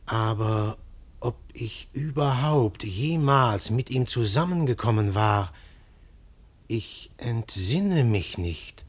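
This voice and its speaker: An actor narrating in first person as a man waking from a dream, slow, hushed and reflective, in an old radio play. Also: medium pitch, animated, husky. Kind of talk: 1950s radio drama narration.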